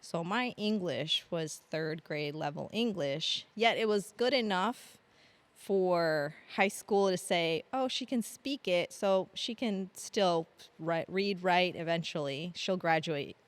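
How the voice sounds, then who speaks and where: Low-voiced woman in her late 30s, chatty podcast guest, lots of ums and laughs. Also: slow. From grew up in Argentina. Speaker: podcast guest, Air National Guard staff sergeant. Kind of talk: casual interview storytelling.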